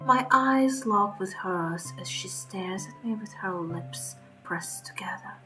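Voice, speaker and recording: A woman voicing a frightened, defensive young beast pup, telling a horror game story in dramatic first person with hushed, breathy endings. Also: mid-range voice, poor audio. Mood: uneasy.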